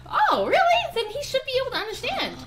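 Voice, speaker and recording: A woman's voice: very high voice, huge pitch swings, fairly quick, a bit bright, soft background music, voice pushed up, full character voice. A woman voicing a sweet, curious child hero in a game roleplay. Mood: relieved, unsure.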